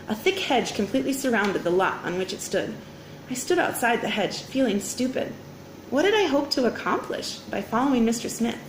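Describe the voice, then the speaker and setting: Female read-aloud storyteller for kids, bright-eyed teacher delivery, highish voice, dramatic phrasing, echoey room. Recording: roomy echo, faint background noise.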